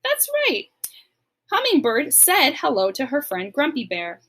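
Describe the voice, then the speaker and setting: A woman's voice: sits high, huge pitch swings, measured pace, fairly bright tone. A woman teacher giving a recorded lesson to young pupils, explaining simply and encouragingly, step by step. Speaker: elementary school teacher.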